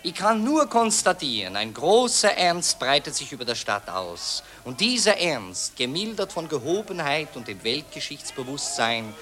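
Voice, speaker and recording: A man's voice: fairly high pitch, very animated, normal speed, hardly any pauses, pushed and bright. An actor playing a pompous, glib male journalist in a radio play. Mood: smug. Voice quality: pompous, declamatory. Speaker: newspaper reporter. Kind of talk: radio drama acting.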